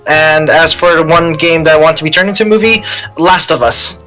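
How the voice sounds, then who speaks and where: A man in casual podcast chat, halting and repeating his phrases. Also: a little high, sharp tone, poor audio. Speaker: podcast panelist. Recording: faint music behind the voice.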